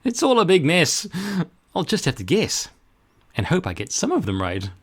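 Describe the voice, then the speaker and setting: A man's voice: on the high side, huge pitch swings, moderate pace, slightly rough, voice pushed up, exaggerated voice. A man voicing a modest, kindly male van driver in a children's picture book, earnest and obliging. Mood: worried.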